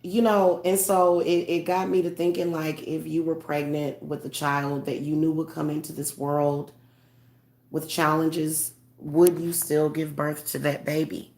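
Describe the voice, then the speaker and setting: A woman speaking as a spiritual medium in a casual monologue, congested, halting with many fillers and restarts, earnest and tender. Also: low voice, level tone, darkish tone.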